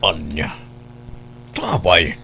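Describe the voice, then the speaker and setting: A man's voice: low voice, huge pitch swings, extremely slow, vocal fry, music in the background, full character voice. A man playing a stern, concerned middle-aged father in a radio drama. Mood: puzzled. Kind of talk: Ilocano radio drama acting.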